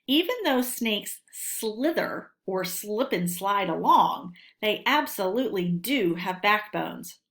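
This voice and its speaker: A woman teacher reading a lesson aloud for children in a deliberate, instructional way, posing questions and answering them. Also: mid-range voice, fairly bright tone.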